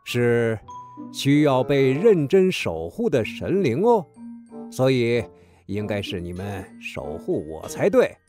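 An actor playing a teasing, chuckling old wind god in a children's audio drama. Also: male voice, medium pitch, big pitch swings, slow pace, over music. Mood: amused.

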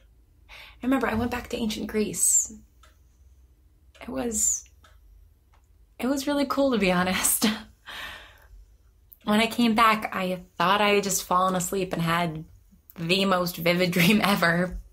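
An actress playing an anxious, lonely woman in her twenties, speaking haltingly and self-deprecatingly in a naturalistic audio drama. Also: mid-range voice, fairly even intonation, fairly bright tone. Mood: hesitant, reflective. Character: anxious, lonely young woman who vanishes through time.

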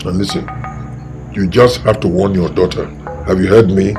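A man's voice: low-pitched, fairly even intonation, normal speed, over music. A man playing a stern king who scolds his daughter and threatens his guards. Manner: commanding, threatening. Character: stern commanding king, angry father.

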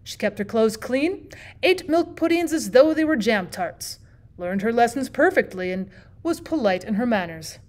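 A hard, dryly mischievous storybook bachelor , as a woman narrator reads him. The voice is slightly high. He is blunt and deliberate in his storytelling. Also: expressive, a little mellow, natural voice.

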